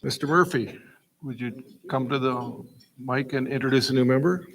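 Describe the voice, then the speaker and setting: A man's voice: medium pitch, moderate pace, vocal fry, rough recording. A man chairing a club meeting, welcoming guests one by one. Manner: welcomes guests warmly, brief. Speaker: Rotary club president. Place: large meeting hall.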